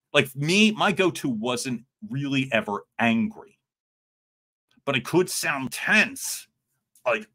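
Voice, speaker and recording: A middle-aged man coaching live from a room at home, close on a big studio mic. His voice is loud and bright, and it swings widely in pitch as he jokes with his viewers. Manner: animated, joking with viewers, loud and expressive. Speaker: communication coach. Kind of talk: livestream coaching talk. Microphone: large studio mic in front.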